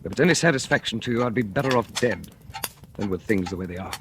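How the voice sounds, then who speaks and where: An actor playing a bitter, jealous, broke husband in an old radio drama, cutting and menacing. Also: male voice, on the low side, animated, quick pace, lightly acted. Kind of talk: 1940s radio acting. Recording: old radio broadcast with hiss.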